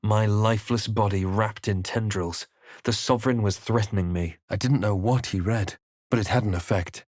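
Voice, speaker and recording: A brooding male fantasy hero from a video game, played by a man. His voice sits fairly low and is recorded close and dry. He speaks with hushed, deliberate drama, as if recounting his own perilous quest. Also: steady tone, no room sound, natural voice. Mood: ominous, grave.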